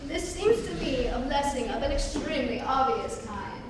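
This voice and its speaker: A stern, prim governess from a stage comedy, played by a young woman. Her voice is high and reproving. It carries from far off through a noisy, echoing hall. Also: expressive, moderate pace. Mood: disapproving. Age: young adult. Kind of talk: amateur dramatic reading of a stage comedy. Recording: echoey hall, distant mic, noise.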